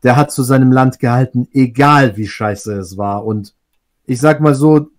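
A man's voice: mid-range voice, normal speed. Thoughtful man in a casual group chat. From Russia. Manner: thoughtful, restarts sentences often. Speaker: discussion guest.

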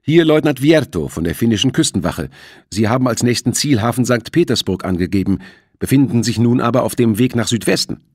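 A man voicing a calm, official coast guard lieutenant in an audiobook, formally hailing a ship by radio. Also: mid-range voice, brisk, slightly rough, dry recording, clean studio sound, voice pushed up. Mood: formal, composed.

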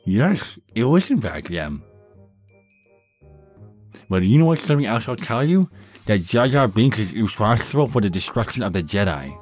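A man's voice: fairly low pitch, big pitch swings, normal speed, long pauses, breathy edge, faint music behind. A man voicing a dorky young male vampire, pedantic, whiny and condescending, in a goofy roleplay monologue. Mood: smug, argumentative. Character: nerdy, dorky young male vampire, petulant Star Wars know-it-all.

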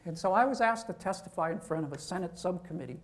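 A man's voice: sits high, lively intonation, fast talker. A man, a professor, giving an informal university lecture, talking conversationally and checking in with "okay?".

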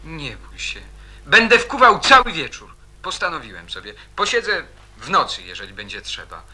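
Teenage boy, radio drama, earnest, hesitant, very sharp bright voice, faint hum behind. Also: on the high side, very animated, normal speed, exaggerated voice. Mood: pleading, evasive. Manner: insistent, hesitant, repeats himself. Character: Janusz, stubborn, earnest, troubled schoolboy in his teens.

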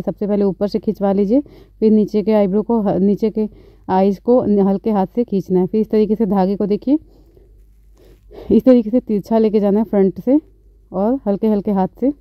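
Calm woman beautician explaining threading. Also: mid-range voice, moderate pace, no echo, lo-fi sound. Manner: calm, instructive, repeats phrases. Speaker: beautician, channel host. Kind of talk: step-by-step tutorial narration.

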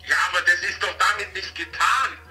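A man's voice: high-pitched, a little fast, pushed and bright. A man in a spontaneous phone conversation, questioning and insistent, heard over a speakerphone. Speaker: prank call recipient. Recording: echoey distant phone on speaker, faint music.

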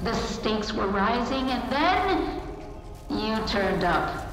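A woman's voice: on the high side, big pitch swings, slow pace. A woman playing an unhinged, condescending, bitter underground scientist in a video game, speaking theatrically. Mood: smug, bitter. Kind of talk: dramatic video game acting. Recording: very echoey hall, music behind.

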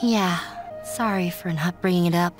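A woman's voice: lowish voice, moderate pace, music in the background, lightly acted. A woman playing a sorrowful, self-blaming young daughter of a demanding scholar in an anime game, speaking earnestly with resignation. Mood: hurt, sad.

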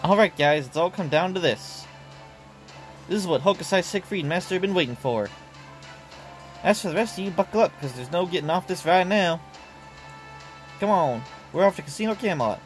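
A man voicing a rowdy young swordswoman in a game who rallies her friends in an energetic way. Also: high-pitched, a little fast, pauses often, faint music behind. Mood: rallying. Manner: rallying, energetic.

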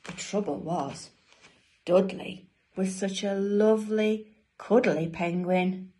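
A middle-aged woman, reading a picture book to young children as a teacher, pausing to ask them questions. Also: medium pitch, a little hiss or hum. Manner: slow, expressive delivery for young children. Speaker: infant school teacher reading a bedtime story. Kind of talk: children's storybook read-aloud with questions to listeners. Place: small domestic room indoors. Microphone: phone or tablet an arm's length or more away.

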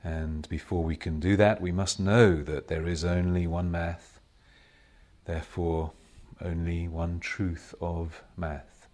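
A man leading a guided meditation as a spiritual teacher, speaking in measured, solemn short phrases with long pauses between them. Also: low voice, level tone, a little mellow. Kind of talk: slow guided meditation talk.

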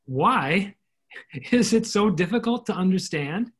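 An actor playing an eager, modest army captain home from war in an earnest, exclamatory stage comedy style. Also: male voice, sits high, expressive, a little slow, slightly rough, exaggerated voice. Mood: puzzled, eager. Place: indoors at home, video call. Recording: noisy home video-call audio.

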